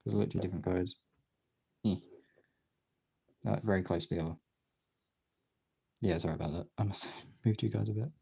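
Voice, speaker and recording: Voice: low-pitched, fairly even intonation, fairly quick, long pauses, darkish tone. A streamer chatting casually while working on art, thinking aloud in short, informal, sweary remarks. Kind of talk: casual chat while working, muttered self-talk. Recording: clearly noisy, with clicks.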